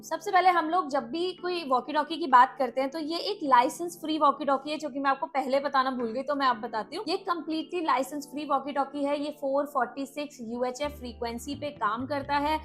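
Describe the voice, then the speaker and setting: A woman's voice: high-pitched, fairly bright tone. A woman hosting a casual product demo, explaining things and mixing in English words. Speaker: product review host.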